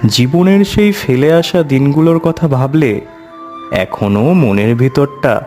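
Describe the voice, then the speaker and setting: An avuncular tantric storyteller recounting eerie memories for a horror cartoon, played by a man. He narrates slowly and with measured suspense in a medium-pitched voice, with light music beneath. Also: a little mellow, lightly acted. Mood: measured, suspenseful.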